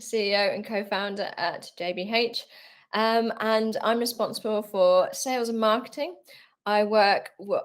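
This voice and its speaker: Woman, company head, businesslike, filler-heavy, webinar panelist. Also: medium pitch. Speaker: CEO and co-founder, panelist. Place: video call.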